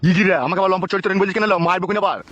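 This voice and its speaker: A man's voice: high voice, little pitch movement, rapid-fire, very noisy, natural voice. A man voicing a blunt, scolding middle-aged village father in a bawdy cartoon. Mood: defensive anger. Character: gruff, irritable, foul-mouthed middle-aged village father. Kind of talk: bawdy cartoon comedy acting. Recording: dull lo-fi cartoon audio.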